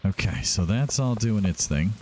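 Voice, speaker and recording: A man's voice: fairly low pitch, animated, moderate pace, background noise. A man doing casual let's-play commentary as a gaming host, chatting to himself and to the game's characters. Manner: talks to himself and to game characters.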